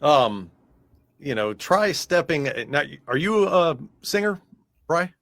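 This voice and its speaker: A man's voice: a little high, big pitch swings, takes it slowly, fairly bright tone, no echo, clean studio sound. An American man chatting casually in a music interview as the guest, talking in a loose, rambling way.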